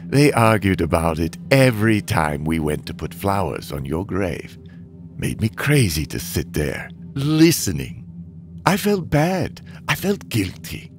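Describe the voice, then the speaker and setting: An actor playing a bitter, self-loathing demolition derby driver, narrating a motion comic with brooding, theatrical, drawn-out phrasing. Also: male voice, deep voice, big pitch swings, faint music behind. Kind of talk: dramatic first-person comic narration.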